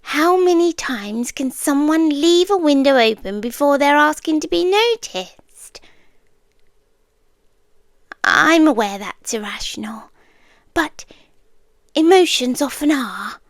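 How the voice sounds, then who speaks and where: A British woman playing a calm, obsessive stalker girlfriend in an intimate ASMR roleplay, hushed and deliberate, often slipping into whispers, tender yet softly menacing. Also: sits high, dry recording, studio quality, exaggerated voice. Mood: quietly intense. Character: soft-spoken obsessive girlfriend stalker, calm, coolly intense, yandere.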